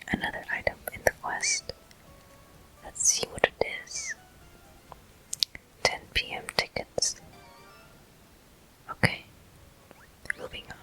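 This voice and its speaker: A person whispering ASMR game commentary in a deliberate manner, pausing between short phrases. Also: pushed and bright, rough recording. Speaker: gameplay host.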